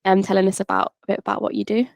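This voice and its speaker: A woman interviewer asking polite, measured questions with brief fillers. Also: medium pitch, little pitch movement, gravelly, dry recording, clean studio sound.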